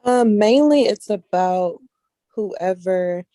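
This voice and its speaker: A woman's voice: mid-range voice, slow, warm tone, dry recording. A woman speaking casually and earnestly as an interview guest, often repeating phrases for emphasis. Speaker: guest casting director, actress and model.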